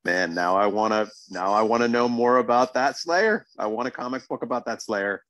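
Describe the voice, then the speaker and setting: A man's voice: mid-range voice, moderate pace, fairly bright tone, dry recording, poor audio. A man podcast host giving casual, exclamatory reactions to a TV show, often repeating himself for emphasis.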